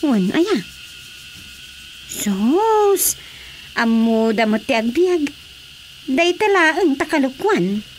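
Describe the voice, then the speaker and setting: An actress plays a younger married Filipino woman in a radio drama comedy, full of sympathy and exclamations. Her voice is high and chatty. The recording carries faint background noise. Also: very animated, very slow, long pauses, heavily acted. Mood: exasperated.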